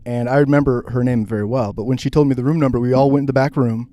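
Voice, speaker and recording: A man's voice: medium pitch, fairly even intonation, quick pace, dark tone, no room sound. An American man co-hosting a podcast, trading stories in an informal, casual way. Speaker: podcast co-host. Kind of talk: casual banter, telling stories.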